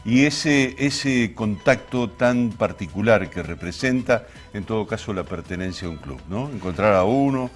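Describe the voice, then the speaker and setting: A man's voice: lowish voice, lively intonation, normal speed, few pauses, soft background music. A man with an Argentine accent hosting a talk show, speaking in an expansive, explanatory way and often rephrasing as he introduces topics. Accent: Argentine Spanish. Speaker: television show host. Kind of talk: conversational programme introduction to camera.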